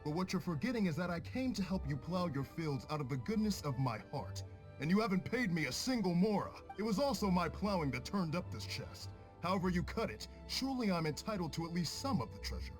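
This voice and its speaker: A man's voice: high voice, very animated, a little fast, soft background music. A man playing an earnest, insistent farmhand in a video game, eager to be heard. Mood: aggrieved. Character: earnest male farmhand, aggrieved yet eager to please.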